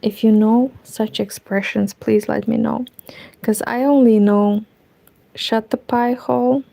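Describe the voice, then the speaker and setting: A woman's voice: a little high, gravelly, mellow. A woman with a Romanian accent chatting casually to viewers while she knits, rambling and often dropping into a whisper. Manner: rambling, talks to herself, drifts into whispers. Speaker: knitting streamer. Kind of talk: casual chat while knitting. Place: home room with curtains.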